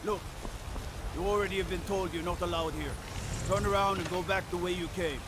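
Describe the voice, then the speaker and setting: An actor voicing a blunt, plain-spoken town guard in a fantasy video game. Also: male voice, sits high, steady tone, brisk, noisy background, close to an everyday voice. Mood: brusque.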